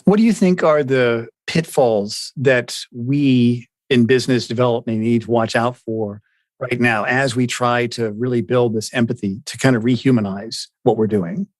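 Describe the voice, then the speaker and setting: A man's voice: mid-range voice, fairly even intonation, normal speed, no echo. Male interviewer, middle register, conversational and inquisitive, thinking aloud into questions, dry studio-like sound. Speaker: podcast host, interviewer.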